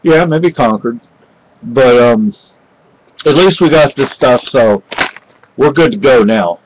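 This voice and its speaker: A middle-aged man from Spain talks casually into a webcam in a cluttered room at home. His voice sits mid-range, and he rambles with pauses and restarts. The audio is dull and lo-fi. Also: medium pitch, expressive, slight background noise. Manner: rambling, halting, many fillers and restarts. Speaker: vlogger. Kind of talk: casual home vlog chat. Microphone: webcam at close range.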